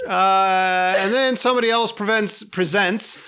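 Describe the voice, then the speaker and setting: A man with a high, bright voice hosts a casual comedy podcast. He jokes irreverently and swears freely as he chats with the group around him. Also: fairly even intonation, measured pace, clear, resonant tone. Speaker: podcast host. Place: in-person group recording at a table.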